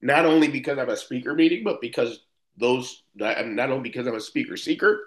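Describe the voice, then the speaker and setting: A man's voice: mid-range voice, brisk. A man in his fifties from Buffalo, New York, sharing his story as a speaker at a recovery meeting in a rambling way, with many restarts and fillers. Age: 50s. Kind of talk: personal recovery talk.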